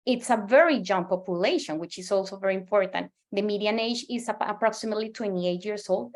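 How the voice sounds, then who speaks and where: A woman in her forties from Colombia with a Colombian Spanish accent, a native Spanish speaker giving an earnest presentation as a market researcher, often restarting and repeating words. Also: medium pitch. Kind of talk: presentation to a professional audience.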